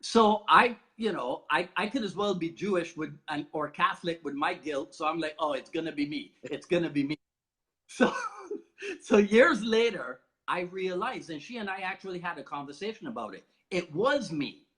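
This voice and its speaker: A man from Barbados with a notably high-pitched voice hosts a relaxed conversation. He talks in an animated, chatty way and laughs often as he tells his stories. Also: moderate pace. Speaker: host. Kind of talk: casual conversation.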